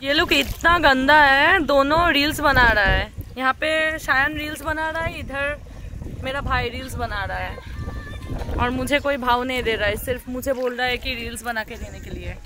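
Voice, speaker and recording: A young woman giving a chatty tour in a casual travel vlog, often exclaiming as she goes, filmed on a handheld phone. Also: on the high side. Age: young adult. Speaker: travel vlogger. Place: hotel room, then outdoor rooftop pool. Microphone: handheld phone at arm's length. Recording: noisy phone audio with ambience.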